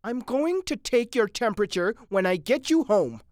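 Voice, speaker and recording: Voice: medium pitch, expressive, normal speed, a little mellow, dry recording, studio quality, voice pushed up, lightly acted. A narrator voicing a bossy, exasperated wife in a storybook reading, scolding with impatient questions.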